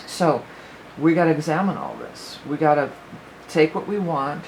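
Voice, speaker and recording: A woman's voice: low-pitched, very animated, normal speed, vocal fry, darkish tone, low-quality recording. Lady rambling through an astrology forecast, voice going a bit, lots of ums, faint hiss behind. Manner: rambling, thinks aloud with many fillers. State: losing her voice a little. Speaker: psychic astrology reader. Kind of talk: casual spoken astrology forecast.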